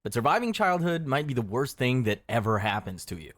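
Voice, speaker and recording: A man's voice: medium pitch, very animated, fairly quick, crowd noise. A man reading scripted second-person narration for a history explainer video in a darkly wry, dramatic storytelling manner.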